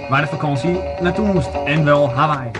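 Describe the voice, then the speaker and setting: A narrator reads scripted links in an amateur radio play. The voice sits in a middle pitch. Loud music runs on the home-made tape. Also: a little fast.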